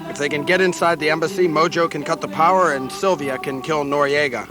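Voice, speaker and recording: A man's voice: fairly high pitch, fairly quick, over music, close to an everyday voice. A man playing a terse covert operation leader on lookout in a satirical radio play. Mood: tense, watchful. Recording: clear outdoor helicopter-like noise behind.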